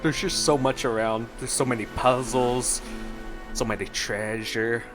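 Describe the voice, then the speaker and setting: A man's voice: mid-range voice, fairly even intonation, measured pace. A man streaming a game with casual reaction commentary, given to wry, joking asides. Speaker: gameplay streamer. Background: faint game music.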